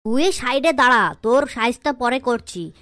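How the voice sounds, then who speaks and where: A woman voicing a strict, scolding schoolteacher in a comedy cartoon, stern and quick to interrogate and threaten. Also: fairly high pitch, animated, a bit bright, dry recording, studio quality. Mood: angry scolding.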